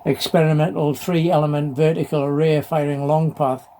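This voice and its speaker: A middle-aged or older man talking casually in an unscripted hobby vlog, halting and hesitant, often repeating his phrases. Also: on the high side, level tone, warm tone. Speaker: amateur radio hobbyist presenting a video. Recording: clearly noisy background.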